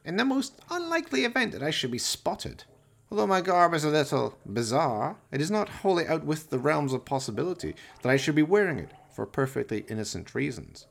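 An actor playing a vain, pompous, scheming mad scientist villain in a radio play, with florid, theatrical, grandiose delivery. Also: male voice, fairly high pitch, very animated, fairly quick, gravelly, full character voice. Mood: gloating, excited.

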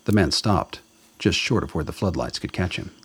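A man's voice: sits low, lively intonation, normal speed, husky. A man reading scripted narration for a radio drama.

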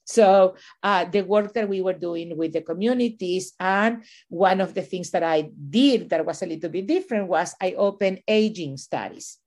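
A middle-aged woman from Chile, raised in Venezuela, speaks in a slightly low voice as an interview guest. She reflects on things at length, circling back with fillers and restarts as she works her thoughts out. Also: moderate pace, few pauses, darkish tone. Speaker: university administrator and professor, podcast guest.

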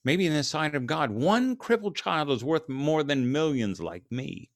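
A man's voice: on the high side, expressive, measured pace, dry recording, studio quality, voice pushed up. A man voicing a brooding, guilt-ridden Victorian writer in an impassioned, lamenting way for a story reading. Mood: grieved, impassioned.

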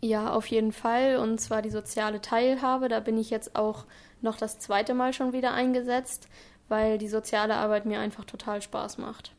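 A woman's voice: fairly high pitch, flat delivery, normal speed, a little mellow. A woman of twenty-one, a trainee, answering interview questions earnestly and at length, with some fillers. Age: 20s. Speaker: trainee in public administration.

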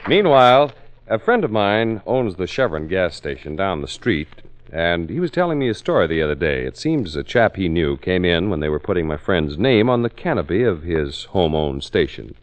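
A man's voice: low voice, very animated, moderate pace. A man announcing in 1940s radio style, with a polished, folksy sales delivery.

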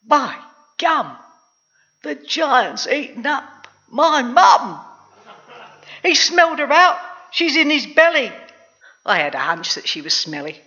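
A storyteller voicing a boastful, excitable young boy with eager, exclaiming delivery in a comic verse story. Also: mid-range voice, fast, hardly any pauses, dull audio, pitched below the performer's own voice. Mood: shocked. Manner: breathless exclamations, eager protesting.

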